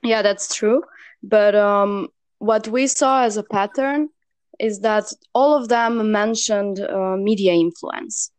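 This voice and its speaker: A woman's voice: medium pitch, fairly even intonation, slow, a little mellow, smooth, no room sound. A young woman from Serbia co-hosting a casual discussion, talking with many fillers and restarts. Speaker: co-host.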